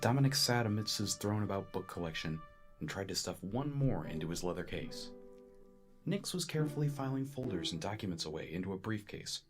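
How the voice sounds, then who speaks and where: Fantasy audio drama narrator played by a man, low register, lively storybook delivery, strong musical score. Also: fairly quick, lightly acted. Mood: measured storytelling. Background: prominent orchestral music.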